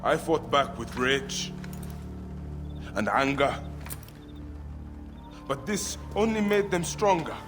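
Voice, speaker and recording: An actor playing a grieving, fierce and resolute Egyptian warrior father in a video game cutscene. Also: sits high. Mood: remorseful.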